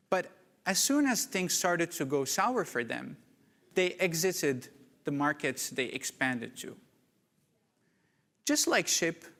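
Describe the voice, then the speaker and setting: A man from Morocco giving a prepared keynote talk at a conference, measured and deliberate. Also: on the high side, fairly bright tone. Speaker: conference speaker. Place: conference hall. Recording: reverberant conference hall.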